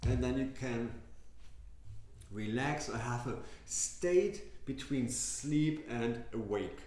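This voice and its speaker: A man leading a yoga class, speaking softly and slowly with gentle instructions. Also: mid-range voice. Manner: soft, slow, gently instructive. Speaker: yoga teacher. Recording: very echoey room.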